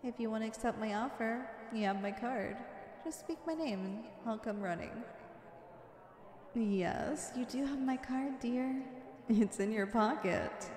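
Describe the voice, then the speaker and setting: A woman's voice: on the high side, unhurried, darkish tone, natural voice. A woman playing a flirty, confident female supervillain in an ASMR roleplay, coy and teasing as she sweet-talks the listener. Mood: fond, flirty. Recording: echoey with long reverb.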